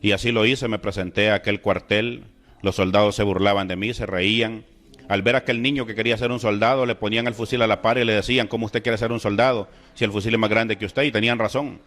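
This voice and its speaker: A middle-aged man from El Salvador with a Salvadoran Spanish accent, slowly and solemnly telling his personal religious testimony. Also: lowish voice, steady tone, a bit bright. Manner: slow, deliberate, solemn storytelling with emotional rises. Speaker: testimony speaker.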